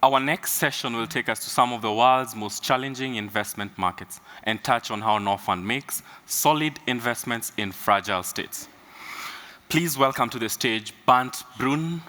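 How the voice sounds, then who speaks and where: A man's voice: on the low side, normal speed, sharp tone. A man reading a scripted announcement introducing the next conference session. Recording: long hall reverb.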